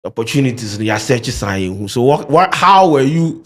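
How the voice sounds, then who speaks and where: A man with a Ghanaian English accent hosts a studio interview and slips into Pidgin now and then. His voice sits at a middle pitch, and he sounds lively and playful as he asks his questions. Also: moderate pace. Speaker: podcast host. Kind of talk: casual interview chat.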